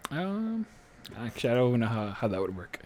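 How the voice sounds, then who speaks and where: A man's voice: mid-range voice, sing-song, brisk, darkish tone, slight background noise. A man acting as game master, narrating the action and explaining rules in a casual tabletop game.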